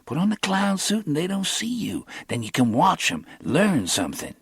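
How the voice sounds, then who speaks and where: A high, lively voice plays a streetwise young hustler, quick and clipped in his street talk, boastful and swinging all over in pitch. The reading is close and dry. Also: gravelly, breathy edge, no echo, exaggerated voice. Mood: animated. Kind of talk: audiobook character reading.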